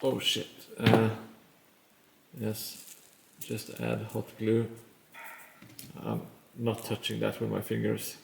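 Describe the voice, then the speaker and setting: A man with a Norwegian accent, a native Norwegian speaker, talks to his stream as he works. His voice sits fairly low and has a gravelly fry, and he takes his time, rambling and mocking himself between pauses. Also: completely flat delivery, noisy background. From Norway. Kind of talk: casual live-stream chat while building.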